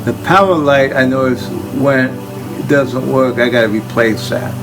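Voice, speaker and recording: An older man casually showing equipment unscripted, plain-spoken and halting, with phrases that trail off. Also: medium pitch, music in the background, rough recording. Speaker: collector presenting his tape machines. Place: indoor room full of audio gear.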